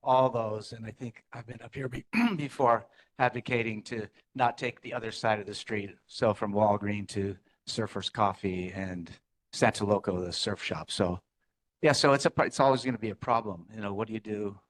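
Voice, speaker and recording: A man commenting as a resident at a neighborhood meeting, rambling with halting restarts. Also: on the low side, fairly even intonation. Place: community meeting room.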